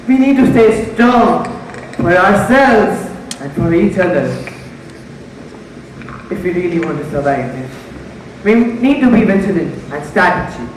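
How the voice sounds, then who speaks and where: A young performer plays a composed leader steadying frightened survivors in a stage mystery. The delivery is measured and declamatory. The voice carries from far off through a hall with long, heavy reverb. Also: mid-range voice, animated, slight background noise, natural voice. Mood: urgent, firm. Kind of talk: amateur stage play acting. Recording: very echoey hall, recorded from far off.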